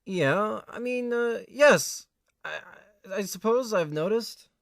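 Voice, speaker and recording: A man's voice: high-pitched, lively intonation, slow, creaky, no echo, exaggerated voice. A man playing an earnest, put-upon young tenant in an amateur audio drama, with a hesitant manner. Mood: awkward. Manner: hesitant, stammering when flustered.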